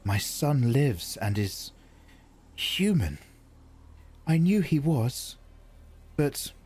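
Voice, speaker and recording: A man reading aloud, voicing a grave noblewoman mother who speaks with measured restraint. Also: medium pitch, sing-song, a little mellow, slightly rough, pitched above the performer's own voice, heavily acted. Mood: hushed.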